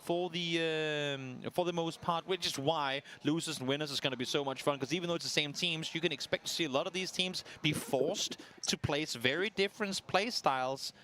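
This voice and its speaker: A man doing color commentary on a competitive shooter match, analytical and measured, sometimes self-deprecating. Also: on the high side, bright, forceful tone, a little hiss or hum. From Denmark. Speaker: esports analyst caster.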